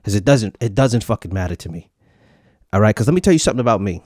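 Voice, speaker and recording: A man's voice: fairly low pitch, animated, quick pace, darkish tone. A man in his thirties hosting a podcast live, ranting casually to viewers with lots of swearing and comic repetition. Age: 30s. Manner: profane, swaggering, repeats lines for comic effect. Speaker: podcast host.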